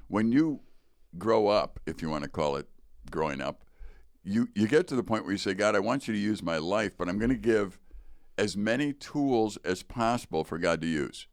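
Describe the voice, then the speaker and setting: Deep-voiced American man hosting a podcast. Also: lively intonation, normal speed, no room sound, studio quality. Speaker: podcast host. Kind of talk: conversational interviewing. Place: podcast studio.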